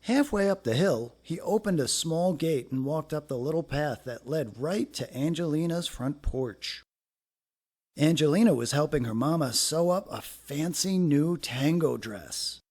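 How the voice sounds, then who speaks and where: A man narrating a children's story aloud in an expressive, deliberate way with dramatic phrasing. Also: fairly high pitch, slightly rough.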